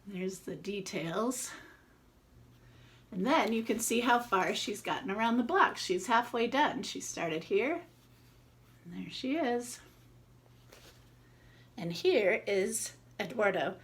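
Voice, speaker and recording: A woman's voice: mid-range voice, animated, slow pace, long pauses. A woman reading a picture book aloud expressively, with informal asides as she points out the illustrations.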